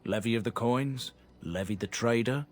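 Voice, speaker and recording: A man's voice: mid-range voice, moderate pace, lightly acted. An actor playing a nervous, chatty older trader in a game, telling his story in a folksy, rambling way. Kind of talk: game dialogue.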